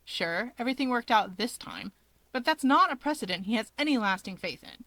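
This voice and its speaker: A woman's voice: on the high side, animated, brisk, sharp tone, no room sound. Woman narrating fiction, close and dry. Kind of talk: expressive fan fiction narration.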